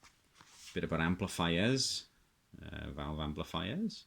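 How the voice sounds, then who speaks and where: A middle-aged man giving a casual, unscripted show-and-tell, halting and musing as he repeats himself. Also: sits low, lively intonation, creaky, a bit bright. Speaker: hobbyist presenter.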